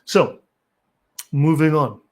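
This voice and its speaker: A man's voice: on the high side, slow. Blunt South African man lecturing. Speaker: channel host. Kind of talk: live-stream commentary answering chat.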